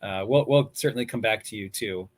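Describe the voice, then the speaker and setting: A man's voice: fairly low pitch, a little fast. A man hosting a panel discussion who introduces guests in a friendly, conversational way. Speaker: host and moderator.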